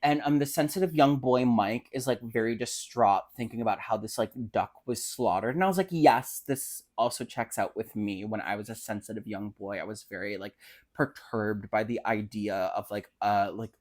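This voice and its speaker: A man's voice: lowish voice, normal speed, a little mellow. A man from the United States co-hosting a casual book podcast, telling stories in a hyperbolic, opinionated, sweary way with lots of filler words. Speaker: podcast co-host.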